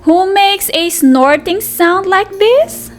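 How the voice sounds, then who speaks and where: A woman's voice: very high-pitched, expressive, takes it slowly, faint music behind. A woman reading aloud as a preschool teacher, addressing small children in a sing-song manner with questions and repetition. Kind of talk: interactive children's read-aloud lesson.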